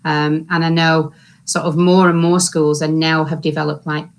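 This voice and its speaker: Low-voiced woman e-learning consultant. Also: level tone, moderate pace. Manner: conversational, many "sort of" fillers. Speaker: local authority e-learning consultant. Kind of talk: online panel discussion.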